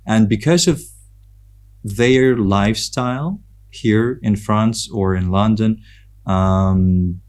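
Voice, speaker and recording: A man from Ukraine with a Ukrainian accent, talking casually and reflectively as a podcast guest, pausing often as he thinks. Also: lowish voice, darkish tone. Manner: hesitant, reflective, with frequent pauses. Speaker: podcast guest, photographer and content creator. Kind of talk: casual conversational interview.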